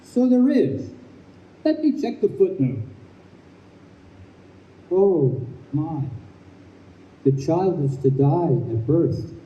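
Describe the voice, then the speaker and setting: A man's voice: on the high side, very animated, slow pace, pauses often, muffled recording. A man playing a formal, officious gatekeeper of heaven in an old-time radio play. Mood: measured, regretful. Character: officious, patient heavenly gatekeeper, a male spirit. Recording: echoey noisy live stage, distant microphone.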